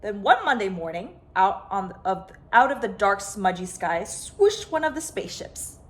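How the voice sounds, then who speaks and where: A woman reading a children's picture book aloud as a storyteller, with dramatic, expressive phrasing. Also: mid-range voice, normal speed. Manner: dramatic, stressed sound words and phrases. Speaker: storyteller, host of a story time.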